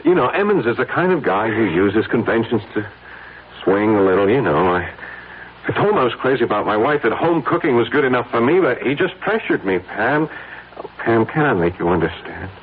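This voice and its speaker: An actor playing an anxious, guilt-ridden married man in a radio drama, speaking haltingly and earnestly. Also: mid-range voice, sing-song, natural voice. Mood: anguished, pleading. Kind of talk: old-time radio drama acting. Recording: noisy, tinny old radio broadcast.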